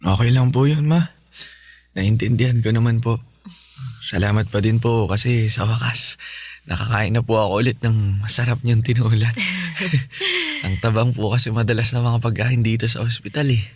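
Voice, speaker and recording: A Filipino man playing a sick but cheerful, considerate son in a radio drama, polite and gently upbeat. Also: medium pitch, expressive, moderate pace, long pauses, dry recording. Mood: warm, reassuring.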